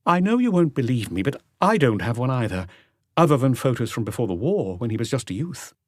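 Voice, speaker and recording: A man voicing an anxious, dignified middle-aged widow with a halting, earnest, stiffly polite manner in an audiobook. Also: medium pitch, big pitch swings, a little mellow, no echo, studio quality. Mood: guarded, wounded.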